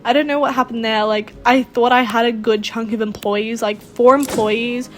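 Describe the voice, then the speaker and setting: High-voiced woman, sarcastic gamer commentary. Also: steady tone, normal speed. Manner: sarcastic, exasperated complaining. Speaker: gaming video host. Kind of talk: casual gameplay commentary.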